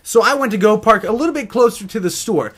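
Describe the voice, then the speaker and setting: A man's voice: high voice, expressive, fast, a bit bright, husky. An American man telling a casual story in a rambling, teasing, joking way, like a vlogger.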